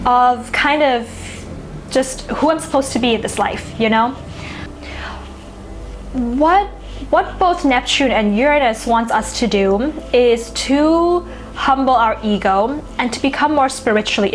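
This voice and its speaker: Thai-native woman astrologer, kinda high voice, rambly and thoughtful, soft music behind. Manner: musing, filled with "you know" and "like". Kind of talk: reflective casual talk to camera.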